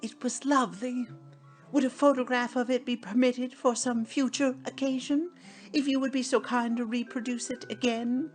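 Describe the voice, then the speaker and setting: A woman's voice: on the high side, steady tone, moderate pace, faint music behind, voice pushed up, natural voice. A woman voicing an effusive, wheedling female medium as a storybook character. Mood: gushing, breathless. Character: gushing, coaxing female spiritualist medium.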